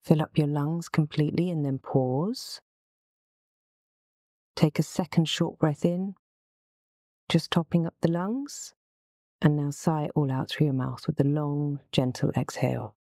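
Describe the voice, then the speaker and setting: A woman with a low, soft-toned voice leads a guided relaxation session. She gives gentle, unhurried instructions in a soothing way. The sound is close and dry, with a mellow, relaxed timbre. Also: no echo.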